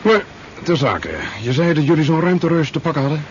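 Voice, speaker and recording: An actor playing a genial scientist doctor in a 1970s radio drama, courteous and dryly ironic. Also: male voice, on the high side, fairly quick, close to an everyday voice. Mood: teasing. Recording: muffled, noisy 1970s radio drama tape.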